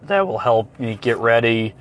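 A hoarse man hosting a solo podcast, chatting in a rambling, folksy, apologetic way as he gives a casual update. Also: mid-range voice, lively intonation, measured pace, fairly bright tone, dull audio, a little hiss or hum. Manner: folksy, apologetic, mild euphemisms. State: hoarse, clearing throat. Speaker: podcast host.

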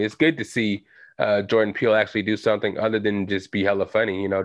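A man's voice: lowish voice, a bit bright, no echo. A young adult man hosting a solo podcast, talking loosely in slang with lots of fillers. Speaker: podcast host. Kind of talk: solo casual podcast chat. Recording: dull lo-fi home recording.

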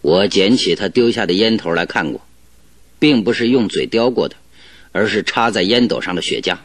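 A cheerful sure-of-himself detective in a radio play, low-ish voice that swings around a lot, lecturing. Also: male voice, normal speed, dry recording. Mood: assured deducing. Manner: animated, didactic, self-assured. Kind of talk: Chinese radio drama acting.

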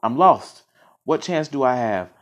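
A man's voice: on the low side, normal speed, pitched above the performer's own voice, lightly acted. A man voicing a small, plucky male quail in a fable, bold and challenging. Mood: despairing. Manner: exclaiming, challenging.

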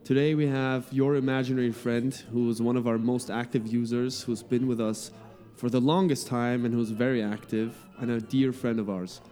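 A man hosts a casual podcast interview in a mellow, warm voice. He asks friendly questions and throws in jokey prompts. Faint music sits under the talk. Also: medium pitch, steady tone, moderate pace, hardly any pauses. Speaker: podcast host.

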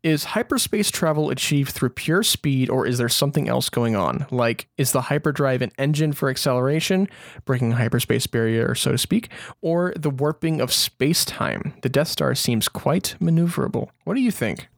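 A man co-hosts a casual fan podcast. His voice sits at an average male pitch and is recorded dry, with very little room sound. He talks in an informal, conversational way. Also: lively intonation, moderate pace, creaky, no echo. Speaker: podcast co-host. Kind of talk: casual chat about fan questions.

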